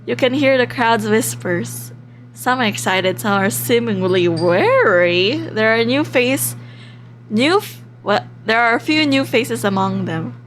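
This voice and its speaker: A woman hosts a casual game livestream in a high-pitched, very animated voice. She is excitable and expressive, yet takes her time as she chats and reads text aloud. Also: unhurried, slight background noise. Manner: excitable, giggly, expressive reactions. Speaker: livestream host. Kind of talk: casual live game stream with read-aloud and reactions.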